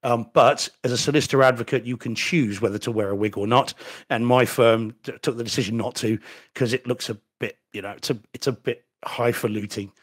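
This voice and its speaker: A man's voice: medium pitch, fairly even intonation, a little fast, slightly rough. A middle-aged man from New Zealand, a solicitor co-hosting a home theater podcast, talking casually and carefully, with dry asides and restarts, on a desk microphone from a room at home. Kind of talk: casual chat. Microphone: desk microphone on video call.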